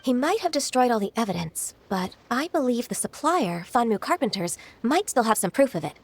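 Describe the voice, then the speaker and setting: An actress playing a gentle, composed and shrewd young secretary in a video game, speaking politely and thoughtfully. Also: female voice, a little high, sing-song, brisk, slight background noise. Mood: composed, reassuring.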